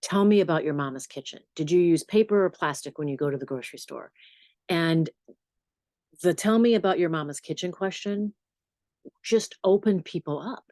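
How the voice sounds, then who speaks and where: A middle-aged woman with a notably low, deep voice talks in a relaxed, storytelling way, dropping into lively asides now and then. She sounds close and dry, as if right at a studio microphone. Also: normal speed, dry recording. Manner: warm storytelling, animated asides. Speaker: journalist and podcast host. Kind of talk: relaxed interview answers.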